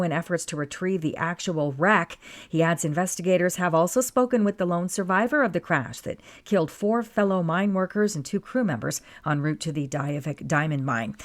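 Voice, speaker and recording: Woman, Canadian accent, newsreader, low pitch, steady delivery. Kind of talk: scripted news reading.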